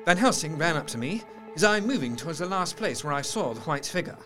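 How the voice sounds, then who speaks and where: A troubled gentleman doctor telling a gothic tale from his diary, with music behind him. His voice is fairly high and swings widely in pitch. He is sceptical, intense and formal in his diction. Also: sing-song, a little fast. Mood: uneasy, dismayed. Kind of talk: dramatised first-person diary narration. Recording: music under the voice.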